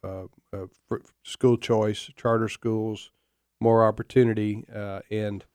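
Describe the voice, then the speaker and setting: A man in his fifties hosting a radio talk show, speaking in a folksy, opinionated way with many halting fillers. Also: on the low side, little pitch movement, a little mellow, clear, resonant tone, no room sound, studio quality. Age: 50s.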